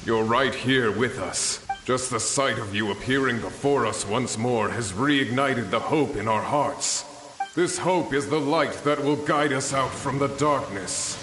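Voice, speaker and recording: A man's voice: medium pitch, a little slow, music in the background, lightly acted. An actor playing a steadfast, devoted male samurai leader who speaks in an earnest, rousing way. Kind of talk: video game dialogue. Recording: echoey with noise.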